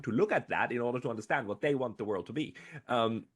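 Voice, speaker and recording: A man's voice: mid-range voice, brisk. A man speaking conversationally as an interviewer.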